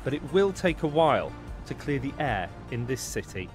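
A man narrating a news report as the reporter, inquisitive and lively. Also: medium pitch, moderate pace, soft background music.

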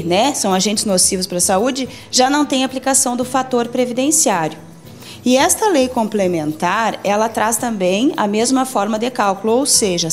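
A Brazilian woman in her forties answers interview questions as a lawyer, laying out rules step by step. Her voice sits fairly high. Faint music plays beneath the handheld-mic recording. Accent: Brazilian Portuguese. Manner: methodical, explains legal rules step by step. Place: office meeting room.

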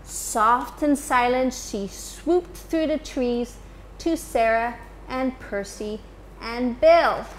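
A woman in her thirties, a teacher, reading a picture book to children in an expressive storytime manner in a school library. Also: on the high side, a little hiss or hum, low-quality recording. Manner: slow, expressive, addressing children.

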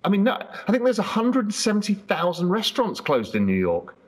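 A man's voice: high-pitched, huge pitch swings, brisk. A man in his fifties hosting an interview over a video call from a large room at home, talking in a rambling, conversational way. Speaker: host. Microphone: video call webcam.

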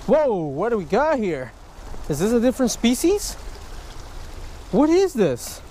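Excitable high-voiced man filming outdoors. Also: a little slow, slight background noise. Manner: excitable, exclaims over each catch, addresses viewers as folks. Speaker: fishing vlogger. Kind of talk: casual vlog narration to camera. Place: outdoors by a wooded creek. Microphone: camera mic, handheld or chest-mounted.

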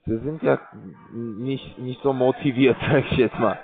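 A man calling from a scam call center, speaking broken full of fillers as he pushes his pitch. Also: a little slow, vocal fry, a crowd in the background. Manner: broken German, filler-heavy, pushy salesman. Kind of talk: phone call. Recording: tinny phone line.

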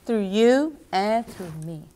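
A middle-aged woman reading and explaining to children as a story time host, expressive and speaking directly to her young listeners. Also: mid-range voice, slow, a little hiss or hum. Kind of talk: children's read-aloud and instruction. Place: small indoor reading nook with bookshelves.

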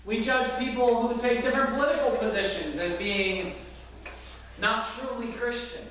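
A man's voice: extremely high pitch, normal speed, a bit bright, very echoey, a little hiss or hum. A man preaching a sermon in a church, telling a story earnestly with some fillers, recorded from far back in the church. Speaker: pastor. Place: church sanctuary with congregation. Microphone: far camera at back of church.

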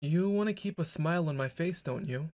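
A man's voice: fairly high pitch, brisk, darkish tone, dry recording. A young man performing a boyfriend ASMR roleplay, brash and teasing, stumbling into flustered stammers.

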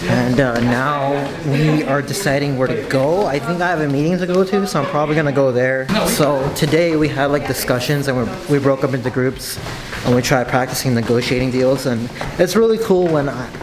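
A man's voice: mid-range voice, moderate pace, a crowd in the background. A young man, an international student, chatting casually to a handheld camera as he walks around his university, laughing often and dropping in Japanese words. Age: young adult. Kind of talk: casual vlog chat. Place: university classrooms and hallways, indoors. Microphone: handheld camera at arm's length.

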